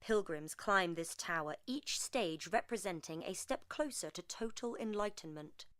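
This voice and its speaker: A woman's voice: medium pitch, animated, normal speed, fairly bright tone, no room sound, clean studio sound. A woman reading a scripted narration about creature lore.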